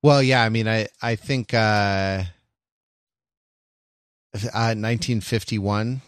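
A man co-hosting a podcast, discussing literature casually in a halting way, often repeating and interrupting himself. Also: fairly low pitch, fairly even intonation, clean tone, no echo, clean studio sound. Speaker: podcast co-host.

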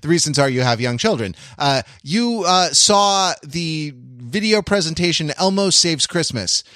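A man's voice: a little high, expressive, measured pace, a bit bright, dry recording, clean studio sound. A man hosting a podcast in casual, jokey chat, with wry, mock-grandiose build-ups. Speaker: podcast host.

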